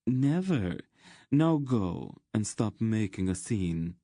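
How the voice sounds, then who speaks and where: A man's voice: lowish voice, lively intonation, takes it slowly, mellow, no echo, studio quality, voice pushed up. Man voicing a languid, purring, cruel queen. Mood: cold, bored. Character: seductive, cruel, bored queen, purring and cold.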